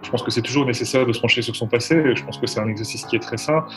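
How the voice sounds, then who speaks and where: A man's voice: mid-range voice, racing, faint music behind. A man giving spontaneous expert commentary as an interview guest, hesitantly repeating small words as he thinks.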